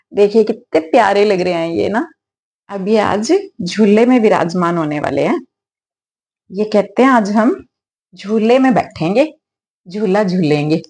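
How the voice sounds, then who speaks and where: A woman with a slightly hoarse throat, clearing it now and then, talking gently and affectionately in a casual devotional vlog at her home altar. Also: medium pitch. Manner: gentle, affectionate, preachy at times. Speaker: devotional vlogger.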